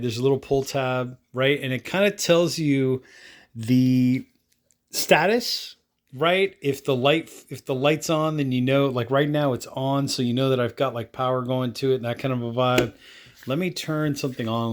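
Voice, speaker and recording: Man, product review host, mid-pitched voice, casual, unscripted, rambling, narrates his actions as he goes. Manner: rambling, informal, talking through actions aloud.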